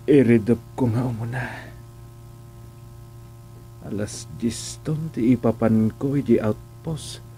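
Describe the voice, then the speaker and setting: An Ilocano village man played by an actor in a radio comedy, curious and excitable. He takes his time, in a mid-range voice, drawing out his exclamations and teasing questions over music. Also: mid-range voice, takes it slowly, pauses often, natural voice, rough recording. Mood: musing. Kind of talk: comedic radio drama dialogue.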